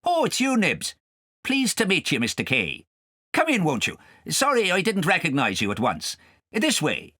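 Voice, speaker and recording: Guy doing a crafty fox from a kids storybook, hearty old-chap talk, higher voice, really swoopy. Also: wildly swooping pitch, normal speed, bright, forceful tone, no room sound, clean studio sound, exaggerated voice. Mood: affable, hospitable. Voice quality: unpleasant singing voice. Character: sly fox, genial bachelor host and gleeful poacher.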